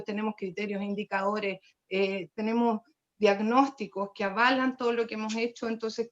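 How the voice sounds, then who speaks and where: A woman's voice: on the high side, dull audio. A Chilean woman speaking as a panelist, earnest and passionate. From Los Lagos region, Chile. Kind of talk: panel discussion.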